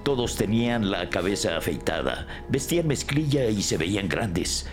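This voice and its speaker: An actor playing an indignant, shaken deli owner giving an emphatic account as a crime victim in an audio drama. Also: male voice, fairly low pitch, sing-song, moderate pace, soft background music. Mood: agitated. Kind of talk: scripted audio drama dialogue.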